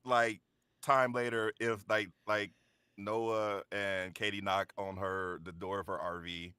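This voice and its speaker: A man's voice: on the low side, level tone, slow pace, pushed and bright, smooth, no room sound. A man chatting casually as a voice actor on a panel, jokingly acting out imagined dialogue. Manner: jokey, acts out imagined dialogue. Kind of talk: casual after-show chat.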